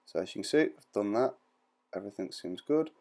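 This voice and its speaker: A man with a very low, gravelly voice narrates an informal how-to. His tone is soft-edged and dark. He explains each step in plain, reassuring language, like a tutorial presenter. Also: lively intonation, fairly quick. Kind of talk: informal step-by-step instructional voice-over.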